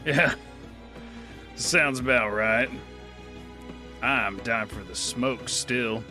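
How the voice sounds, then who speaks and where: A man's voice: mid-range voice, very slow, over music. An actor playing a weary gunslinger who narrates his tale in a dry, laconic drawl. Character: weary male gunslinger, laconic and world-worn. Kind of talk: first-person narration.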